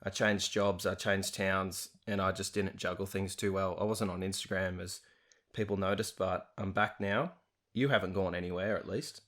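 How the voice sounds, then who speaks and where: Chatty low-voiced young male host. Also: fairly even intonation, moderate pace, fairly bright tone. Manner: chatty, self-deprecating, laughs often. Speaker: podcast host. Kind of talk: casual interview chat.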